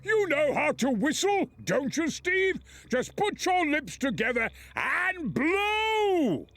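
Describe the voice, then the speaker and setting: Very high, swooping, teasing companion. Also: female voice, very animated, slow pace, a bit bright, no echo, heavily acted. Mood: teasing, playful.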